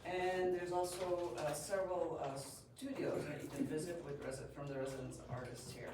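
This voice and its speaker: A woman's voice: low voice, steady tone, normal speed. A woman hosting a gallery event, giving an informal, hesitant welcome and introduction. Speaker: gallery host introducing the artist. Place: indoor gallery. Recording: echoey gallery, distant mic, background noise.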